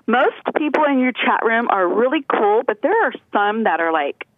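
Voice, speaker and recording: A woman's voice: fairly high pitch, sing-song, normal speed, no room sound. Giggly woman caller on a phone line. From California. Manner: chatty, rambling, giggly. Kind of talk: casual phone-in chat. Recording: tinny phone line, dry.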